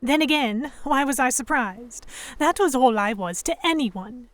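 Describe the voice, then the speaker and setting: A woman's voice: sits high, expressive, a little slow, no room sound. Woman playing a sardonic young noblewoman, dry close recording. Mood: tense narration. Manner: sardonic, defiant, formal diction. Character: young Victorian English noblewoman, captive, defiant and sarcastic. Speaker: narrator and lead voice actor. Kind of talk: first-person scripted narration and audio drama acting.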